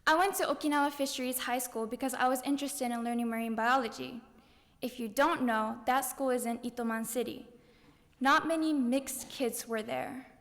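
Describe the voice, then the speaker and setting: A young woman speaks in a high voice that rings through a big hall with lingering reverb. She delivers a rehearsed personal speech as a student contestant. Her delivery is earnest, with weight placed on key words. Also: steady tone, moderate pace, fairly bright tone. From Japan. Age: young adult.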